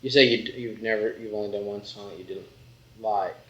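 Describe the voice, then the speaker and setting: A man's voice: fairly low pitch, fairly even intonation, a little fast, a bit bright. A man asking conversational questions as an interviewer in a casual style. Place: room in a house, away from mic. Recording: distant, echoey, dull, faintly noisy tape.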